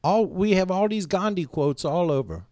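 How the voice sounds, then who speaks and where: A man's voice: high-pitched, lively intonation, dark tone, no room sound, studio quality. A man co-hosting a podcast, teasing and jabbing in casual banter. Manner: teasing, quick to jab. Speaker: podcast co-host.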